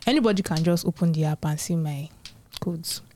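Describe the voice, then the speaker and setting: A woman talks casually as a podcast co-host. Her voice is somewhat low for a woman. Her tone is conversational with a light tease. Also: fairly quick, a little mellow, a little hiss or hum.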